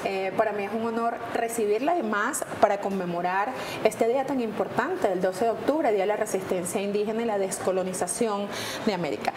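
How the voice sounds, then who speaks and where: A woman's voice: mid-range voice, noisy background. A Venezuelan woman hosting a TV legal program, speaking in a polished, formal, announcer-like way. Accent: Venezuelan Spanish. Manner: polished, announcer-like, slows down for numbers. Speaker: TV host and lawyer. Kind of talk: formal TV presenting.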